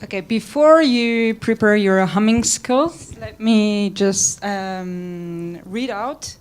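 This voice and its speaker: Woman slowly reading out notes to a room, crisp clear voice, kinda amused. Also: medium pitch. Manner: slow, careful, amused by pronunciations. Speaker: rapporteur.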